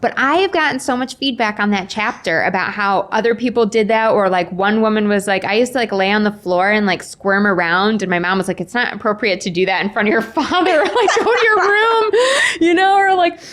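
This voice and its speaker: A woman's voice: mid-range voice, fairly quick. Chatty woman author telling her own story. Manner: chatty, animated retelling with quoted dialogue and self-deprecating humor. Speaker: author, interview guest. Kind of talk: casual conversational storytelling.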